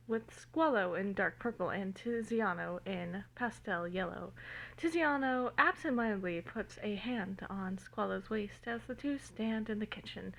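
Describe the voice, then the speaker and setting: A woman's voice: on the high side, a bit bright, rough recording. A woman giving an informal dramatic reading of a story, stumbling over words now and then, recorded on a phone. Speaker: amateur reader of fan fiction.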